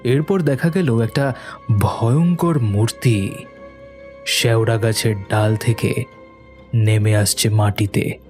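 A man's voice: medium pitch, slow pace, pauses often, a little mellow. A man narrating a scripted horror cartoon story in a dramatic storytelling style. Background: faint music.

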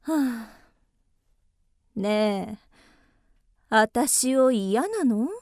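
Coquettish young geisha, high-voiced. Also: female voice, lively intonation, very slow, darkish tone, dry recording, clean studio sound. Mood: soft, pouting.

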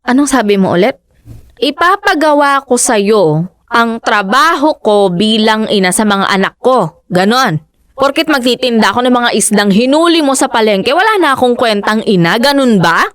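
A woman's voice: fairly high pitch, animated, moderate pace, a bit bright, no echo, studio quality. An actress playing a practical, determined fisherman's wife and mother of four, who argues her point insistently in a radio drama.

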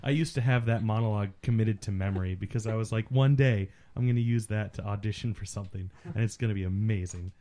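A man's voice: fairly low pitch, fast talker, few pauses, mellow, a little hiss or hum. A man co-hosting a casual film commentary, explaining things in a geeky, profane way. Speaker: podcast co-host.

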